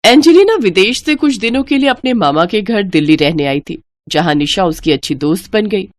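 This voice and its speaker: A woman's voice: mid-range voice, animated, moderate pace, no room sound. A woman narrating a scripted moral story as a storyteller.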